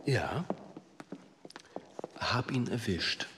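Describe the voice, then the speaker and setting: A shrewd police commissioner in a radio drama. His voice is fairly low, formal and measured with dry wit, over noticeable background noise. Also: animated, vocal fry, heavily acted. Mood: dry, sly. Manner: measured, formal, dryly witty. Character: dry, shrewd senior male police commissioner, deferential to superiors.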